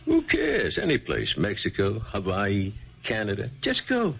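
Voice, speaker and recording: A gravelly, folksy bartender in an old radio play, blunt and pushy but kind. His voice sits fairly low and swings up and down a great deal as he talks. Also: huge pitch swings, unhurried, a bit bright, exaggerated voice. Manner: folksy, pushy, matter-of-fact. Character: chatty, blunt, well-meaning male bartender. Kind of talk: 1970s radio drama acting.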